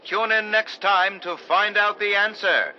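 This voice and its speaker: An actor playing an ominous, theatrical narrator of a vintage radio suspense serial. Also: male voice, high voice, heavy background noise. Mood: suspenseful. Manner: theatrical, ominous, deliberate emphasis. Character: dramatic old-time radio host, ominous and theatrical. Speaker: announcer and narrator. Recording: muffled vintage radio sound, loud music.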